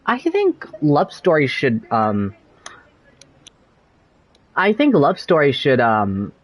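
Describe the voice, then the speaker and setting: A man's voice: a little high, wildly swooping pitch, slow, full character voice. A man voicing an easygoing young male traveler hero in a video game, talking casually and a little hesitantly.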